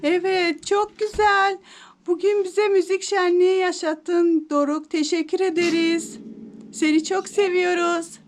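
A woman's voice: very high-pitched, steady tone, unhurried. A woman talking playfully with her small child, encouraging and affectionate, asking lots of questions as a mother does. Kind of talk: playful chat with a small child for a kids' video.

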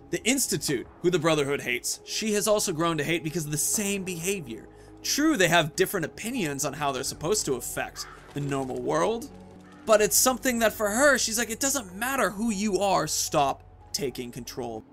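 A man in his thirties giving chatty, animated Let's Play commentary, telling a roleplay story and stressing key words. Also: high-pitched, normal speed, faint music behind. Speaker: gaming video host.

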